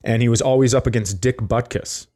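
Man, middle-aged, average pitch, casual comic storyteller, rambling, joking, podcast co-host. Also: fairly quick, warm tone. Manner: rambling, jokey.

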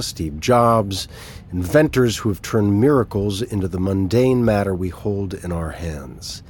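An actor playing a level-headed, cautious male adviser with a measured, husky voice in an audio drama. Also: lowish voice. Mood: wary, subdued. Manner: measured, placating. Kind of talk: naturalistic audio drama acting.

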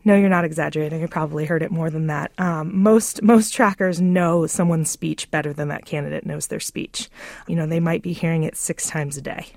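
A woman with a slightly low voice answers as an interview guest in a conversational way. The recording is dry, with little sense of a room. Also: steady tone, a little fast, gravelly, a little mellow, no room sound. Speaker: political consultant, interview guest.

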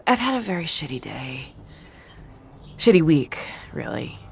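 A woman's voice: deep voice, sing-song, unhurried, gravelly, a little hiss or hum, full character voice. An actress playing a weary, sarcastic but protective adventurer woman in an audio drama. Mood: weary, irritated. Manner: dry, sardonic, tender with loved ones.

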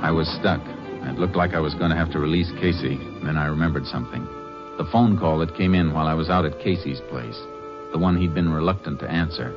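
A methodical Texas Ranger with a deep, steady voice. He questions calmly and deliberately, in 1950s radio drama style. The recording is vintage and muffled, with music in it. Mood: narrating. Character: calm, methodical male Texas Ranger investigator.

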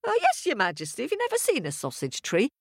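A woman voicing an excitable, chatty, boastful farmer's wife in a folk tale, gushing and rambling. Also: fairly high pitch, quick pace, dry recording, clean studio sound, pitched above the performer's own voice, full character voice. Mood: proud, gushing. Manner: gushing, breathless exclamations, rambling. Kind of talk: storybook character voice.

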